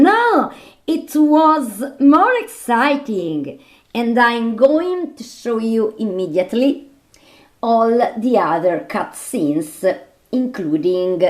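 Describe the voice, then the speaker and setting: A woman who is not a native English speaker, presenting movie commentary off the cuff as a film fan, halting and repeating her phrases. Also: mid-range voice. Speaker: video host and film fan.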